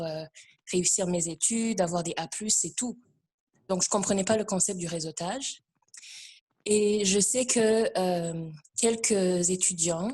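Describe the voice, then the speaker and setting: Female discussion participant, slightly low voice, unscripted personal account with frequent hesitations. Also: a little mellow. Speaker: discussion participant, immigrant services worker. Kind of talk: informal personal testimony.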